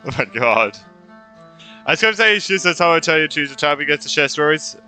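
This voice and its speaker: Very bright, highish male voice, game streamer, casual commentary, rambling reactions, music in background. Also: lively intonation, fairly quick, clean tone. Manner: informal, reacting aloud, rambling. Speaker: gaming streamer.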